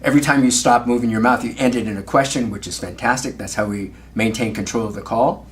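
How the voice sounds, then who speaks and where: A man's voice: medium pitch, fairly even intonation, fast talker, slight background noise. Instructive male sales coach giving feedback. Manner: instructive, gives praise, often ends with check questions. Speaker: automotive sales trainer and coach. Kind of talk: coaching commentary on a phone call.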